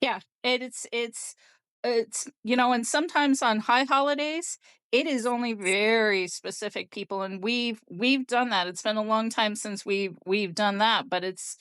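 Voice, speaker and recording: Clear-voiced woman, matter-of-fact, closely recorded. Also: on the high side, unhurried, a bit bright, no echo. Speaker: podcast panelist. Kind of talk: casual group discussion.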